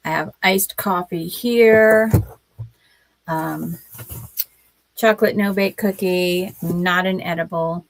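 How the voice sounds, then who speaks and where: A woman hosting a casual writing livestream, her voice scratchy from a cold, talking in a slow, halting way with many pauses. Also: fairly low pitch, no echo.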